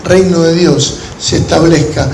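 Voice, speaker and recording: High-pitched Argentine male preacher in an echoey room. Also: steady tone, measured pace, vocal fry, a little mellow. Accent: Argentine Spanish. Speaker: pastor. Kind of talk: sermon to a gathering of pastors, with storytelling. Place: large room at a church conference. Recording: echoey hall with background noise.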